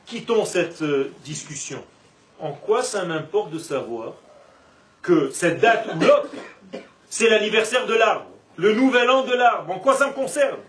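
A man with a high-pitched voice gives an animated religious class. He leans on rhetorical questions and stretches words for emphasis. The recording is roomy and echoing, picked up from a distance with faint noise. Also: takes it slowly. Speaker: religious teacher giving a Torah class. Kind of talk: lively spoken lesson to a live group.

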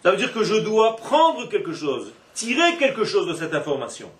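A man giving an animated Torah class with playful asides, recorded from a distance in a roomy classroom. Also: sits high, moderate pace. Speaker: Torah teacher. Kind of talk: religious class lecture. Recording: echoey room, from far off.

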